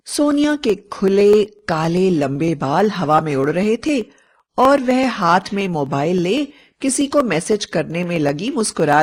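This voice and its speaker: A woman's voice: mid-range voice, husky. A woman narrating a scripted story as a storyteller. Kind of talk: scripted fairy-tale narration.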